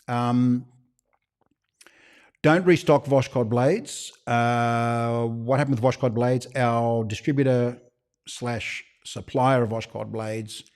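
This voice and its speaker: An Australian man hosting a loose livestream Q&A for his shop. His voice sits in an ordinary middle pitch, and he wanders from point to point with conspiratorial little jokes. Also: a little mellow. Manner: chatty, rambling, with conspiratorial joking asides. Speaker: shop owner hosting a live Q&A. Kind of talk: casual livestream Q&A.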